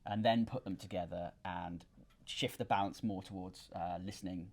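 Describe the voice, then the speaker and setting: Man, fairly low pitch, product designer, informal technical explanation, halting delivery, interview setting. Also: steady tone, a crowd in the background. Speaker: loudspeaker designer and company representative.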